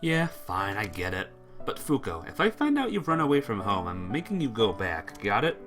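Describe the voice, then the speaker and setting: A woman's voice: rumbling low, big pitch swings, normal speed, fairly bright tone, faint music behind, heavily acted. A woman voicing a blunt, exasperated teenage schoolboy from a visual novel game with brusque, challenging delivery.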